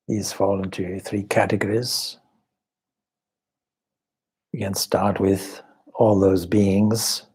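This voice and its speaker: A man with a low, husky voice guides a meditation. He speaks very slowly and contemplatively, leaving long pauses between short phrases as a spiritual teacher does. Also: mellow. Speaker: swami leading guided meditation.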